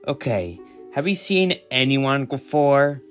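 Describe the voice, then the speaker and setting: A man's voice: medium pitch, big pitch swings, takes it slowly, faint music behind. A man acting out an amateur audio drama in an everyday, conversational, improvised-sounding voice.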